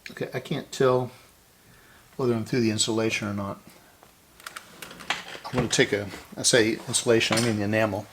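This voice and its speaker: A man's voice: on the low side, steady tone, brisk, long pauses, fairly bright tone, slight background noise. A man giving casual hands-on repair narration, thinking aloud and often correcting himself as he works. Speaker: host of a radio repair channel.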